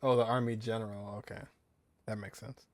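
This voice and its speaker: A man's voice: lowish voice, flat delivery, a little fast, slight background noise. A man giving casual, off-the-cuff commentary while playing through a video game. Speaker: gaming video creator.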